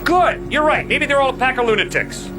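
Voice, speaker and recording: High male voice, impassioned apologist, emphatic delivery, rhetorical questions, colloquial phrasing, clear music behind. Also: expressive, a little fast. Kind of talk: impassioned open-air apologetics debate.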